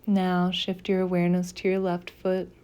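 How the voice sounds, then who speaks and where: A woman leading a guided meditation as a scripted practice, speaking softly and gently in deliberate phrases with pauses. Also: on the low side, completely flat delivery, clean tone.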